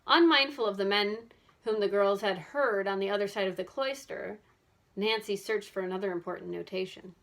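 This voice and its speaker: A woman narrates a children's mystery book aloud. Her medium-pitched voice has a bright, forward sound suited to scripted storytelling. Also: normal speed. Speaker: audiobook narrator.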